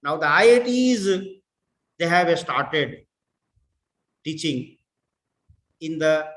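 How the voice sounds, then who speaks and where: A middle-aged man from India with an Indian English accent, giving a deliberate lecture to fellow educators, repeating words and mixing in Hindi. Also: fairly high pitch, lively intonation, fairly bright tone, reverberant. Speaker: professor.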